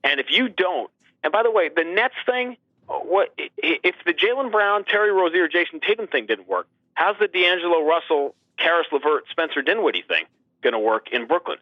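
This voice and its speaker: A man working as a sports announcer, talking basketball as an animated, emphatic guest who leans on rhetorical questions, over a dull radio-like line. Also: on the high side, normal speed, dry recording.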